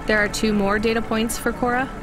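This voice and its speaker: A woman's voice: on the high side, flat delivery, a little fast, music in the background, natural voice. A woman playing a young courier driver in a video game, with a dry, skeptical, understated delivery. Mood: dry, curious. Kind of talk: naturalistic scripted game acting.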